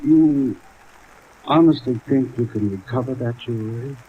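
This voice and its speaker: A man playing a wealthy, genteel, guarded husband in a 1950s radio drama, speaking politely and formally with a smooth evasiveness, in an old recording. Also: medium pitch, unhurried, close to an everyday voice. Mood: smooth, uneasy. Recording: thin, phone-like old radio recording, rain noise.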